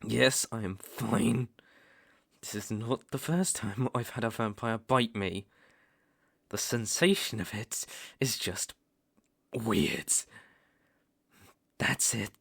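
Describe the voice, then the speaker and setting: A man with a British accent playing a stern young vampire hunter, menacing yet reluctantly protective, in an ASMR roleplay with deliberate pauses and sighs. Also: fairly low pitch, gravelly, husky, full character voice. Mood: softening, tired.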